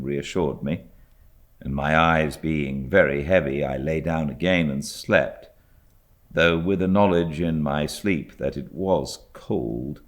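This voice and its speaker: British male audiobook narrator for literary classics, very low register, slow delivery. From Britain.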